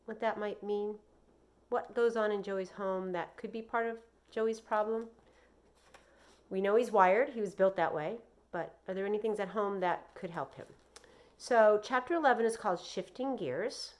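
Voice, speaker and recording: A woman reading aloud like a teacher to children, expressive and deliberate in her delivery. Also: medium pitch. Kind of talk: read-aloud with explanations and questions for children.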